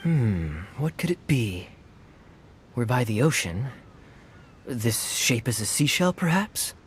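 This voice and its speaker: A man's voice: medium pitch, very animated, slow, a little hiss or hum. A man voicing a suave, playfully teasing young knight in a video game. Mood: musing. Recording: music behind the voice.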